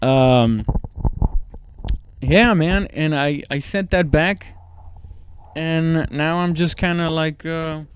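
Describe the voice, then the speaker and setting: A man's voice: fairly high pitch, clean tone, no room sound. A man giving a casual, rambling comedic monologue, self-deprecating and swearing freely. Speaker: comedian and podcast host.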